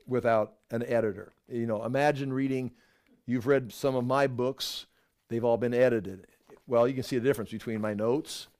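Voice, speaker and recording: A man's voice: medium pitch, a little mellow. Middle-aged man, Bible teacher, mid-range voice, chatty lecture with wry asides and restarts. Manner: conversational, digressive, with wry asides and self-corrections. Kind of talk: casual Bible class lecture.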